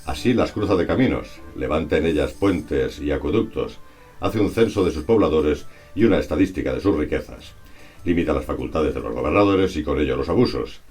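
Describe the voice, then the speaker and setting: Very deep-voiced man from narrating formally. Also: animated, darkish tone, faint music behind. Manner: formal, measured, bookish delivery. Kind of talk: scripted historical narration read aloud.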